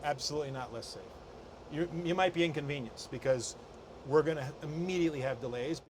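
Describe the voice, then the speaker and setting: A man's voice: on the high side, brisk, a little mellow, a little hiss or hum. A man with an American accent, an airline captain, explaining procedures in an interview. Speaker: airline captain overseeing pilot training. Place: flight training center, partly inside simulator.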